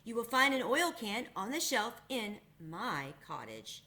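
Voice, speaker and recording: A woman voicing a polite, sad man made of tin in a storybook reading, speaking high and mournfully. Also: big pitch swings, unhurried, pushed and bright, full character voice.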